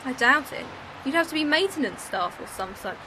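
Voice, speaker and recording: A woman's voice: sits high, lively intonation, a little fast, background noise. An actress playing a sensible female time traveller with dry, teasing remarks. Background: music.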